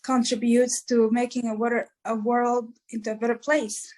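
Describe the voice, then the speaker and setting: A woman's voice: a little high, little pitch movement, normal speed, a little mellow, poor audio. A woman from East Africa, a musician guest, answering interview questions in an earnest, motivational way with lots of "like" fillers.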